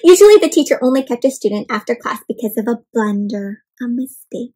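A young woman hosting an interactive read-aloud of a picture book for children, talking to them warmly as "my friends" and asking them questions. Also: on the high side, fairly quick, husky. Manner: animated, addresses kids as "my friends". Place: home room with bookshelf and plants.

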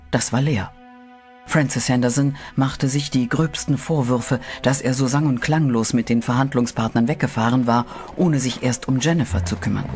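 A man reading scripted horror narration in a measured, dramatic way. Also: mid-range voice, darkish tone. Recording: music bed under voice.

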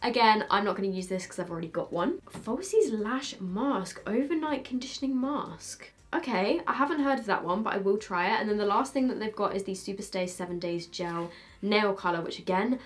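A young woman in her twenties with a British accent, chatting casually as she unboxes makeup at her desk, thinking aloud with quick asides. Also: medium pitch, animated. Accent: British English. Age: 20s. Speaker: beauty vlogger. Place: bedroom filming setup, indoors.

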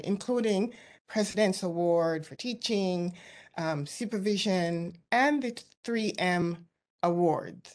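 A middle-aged woman delivers a keynote over a video call, her mid-range voice earnest and measured. She talks from an office through a laptop webcam, now and then restarting a phrase. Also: medium pitch. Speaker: keynote speaker. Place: office with window behind.

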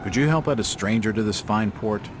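An actor playing a wry, persistent hard-boiled detective in a video game, sardonic and probing. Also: male voice, fairly low pitch, a little fast, noisy background, close to an everyday voice.

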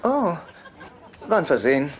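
A man's voice: a little high, big pitch swings, a little slow, over a noisy crowd. A man playing a fussy, needy, meek brother in a sitcom dub, speaking hesitantly and pedantically with dry wit. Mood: earnest, wheedling. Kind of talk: dubbed sitcom dialogue. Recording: noisy TV sitcom soundtrack.

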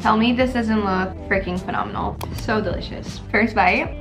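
A woman's voice: medium pitch, moderate pace. A woman in her twenties chatting casually and upbeat to camera as a lifestyle vlogger, peppering her talk with "like". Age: 20s. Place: indoors at home, kitchen and living room. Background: background music.

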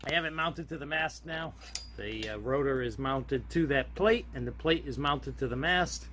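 A man with a mid-pitched voice narrates his own hands-on project in a casual, plain-spoken way. He explains each step simply, as if filming on a handheld camera. There is faint noise behind his voice. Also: moderate pace, a bit bright. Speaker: DIY hobbyist video host.

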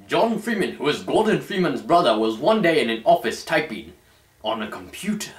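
A man's voice: on the high side, big pitch swings, normal speed. A young man reading a story aloud as host, in a mock-formal, theatrical storyteller's manner, recorded indoors a little way from the mic. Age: young adult. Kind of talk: mock-dramatic scripted narration. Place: small indoor room at home. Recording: roomy camera sound.

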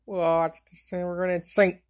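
A woman voicing an excitable, wisecracking girl in an amateur anime comedy fandub, full of exclamations. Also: lowish voice, slow pace. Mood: alarmed. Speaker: fan dub voice actor. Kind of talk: amateur cartoon fandub line readings.

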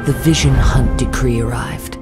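A man playing a calm, poetic young wandering samurai in a video game, speaking in a measured, formal, reflective way. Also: medium pitch, loud music behind the voice, close to an everyday voice. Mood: solemn, reflective. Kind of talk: video game character acting.

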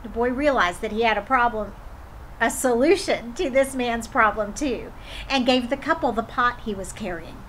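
A woman storyteller reading a children's folktale aloud in an expressive way. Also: on the high side, moderate pace, slight background noise, poor audio. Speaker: drama storyteller.

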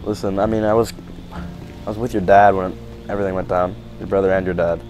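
A man's voice: deep voice, fairly even intonation, fairly quick, darkish tone, soft background music, low-quality recording. A man playing a reassuring, hesitant survivor of a zombie apocalypse in an improvised game roleplay. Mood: earnest, reassuring.

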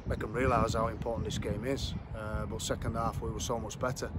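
A middle-aged football manager giving a plain, measured post-match interview outdoors at a ground, with many fillers and repeats. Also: male voice, fairly low pitch, little pitch movement. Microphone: camera framed at chest height. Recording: loud outdoor wind noise.

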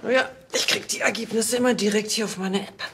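An actress playing a sharp, reproachful female police boss in a radio play, curt and sarcastic. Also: mid-range voice, steady tone, brisk, music in the background, lightly acted.

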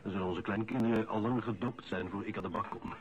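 An actor playing a resentful, stubborn office inspector in a radio play. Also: male voice, on the low side, fairly even intonation, fast talker, lightly acted. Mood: defiant. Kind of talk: radio play acting, conversational. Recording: dull vintage radio play recording.

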